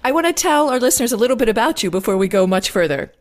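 A woman's voice: a little high, big pitch swings, very fast. A woman, hosting an interview podcast and introducing her guest. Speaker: podcast host and producer.

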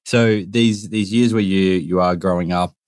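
A man's voice: low-pitched, steady tone, moderate pace, darkish tone, no room sound. A man hosting a podcast, asking casual questions with many restarts and repeated words. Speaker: podcast host.

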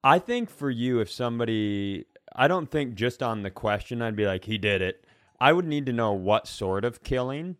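A man's voice: mid-range voice, lively intonation, moderate pace, no room sound, clean studio sound. A man co-hosting a comedy podcast, chatting casually in a rambling, sweary, story-driven way. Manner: rambling, conversational storytelling with profanity. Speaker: podcast co-host. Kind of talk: casual comic banter.

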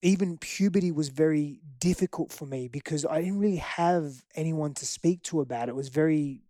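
A man's voice: on the high side, fairly quick, warm tone, no echo, studio quality. A middle-aged man from Greece, whose first language is Greek, speaking candidly and earnestly about his past as an interview guest. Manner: reflective, earnest, frequent restarts. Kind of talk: candid personal storytelling.

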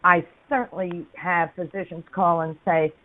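A woman's voice: on the low side, little pitch movement, normal speed. An older woman hosting a radio interview, who speaks in an outspoken, emphatic way. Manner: outspoken and emphatic, with a laughing aside. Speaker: radio host. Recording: phone-like radio line.

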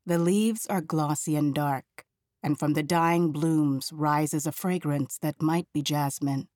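A woman from Nigeria narrating a literary audiobook with precise diction in a measured, eloquent manner. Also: low voice, fairly even intonation, darkish tone, no room sound, clean studio sound.

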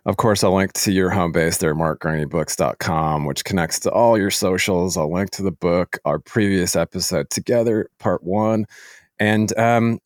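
A man hosting a casual interview, speaking in a halting, appreciative way to his guest. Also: low-pitched, no echo, studio quality. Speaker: podcast host.

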